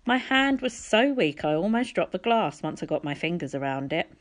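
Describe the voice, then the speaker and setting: Casting: female audiobook reader, slightly low voice, narrating a storybook. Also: sing-song, normal speed. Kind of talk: storybook narration, reading aloud.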